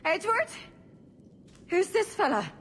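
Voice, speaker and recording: High-pitched pirate woman. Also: sing-song, slow pace, fairly bright tone, slight background noise, heavily acted. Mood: pained distress. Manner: brash, colloquial, theatrical. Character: defiant, brash pregnant female pirate prisoner.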